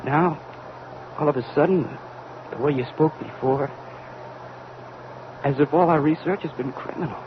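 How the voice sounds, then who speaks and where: A male actor plays a young physicist serving as a rocket's radio operator in an old radio play. His slightly high voice is earnest, deferential and halting. The recording is muffled, with plenty of hiss and rumble. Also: close to an everyday voice. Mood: hesitant, earnest. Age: young adult. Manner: hesitant, respectful, earnest. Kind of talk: vintage American radio drama acting.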